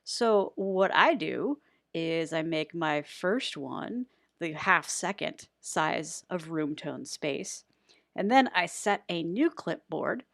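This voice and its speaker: A woman giving a casual tutorial in a chatty, playfully self-deprecating manner, recorded close in a quiet room. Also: low voice, lively intonation, slow, vocal fry, dry recording. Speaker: voice actor giving an audio editing tutorial.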